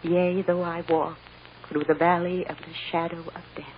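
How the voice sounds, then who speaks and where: An actress playing a tender, sorrowful mother in a hushed, halting 1940s radio drama style. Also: fairly low pitch, little pitch movement, close to an everyday voice. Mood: solemn. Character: tender, sorrowful mother comforting her small daughter. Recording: muffled, noisy old radio recording.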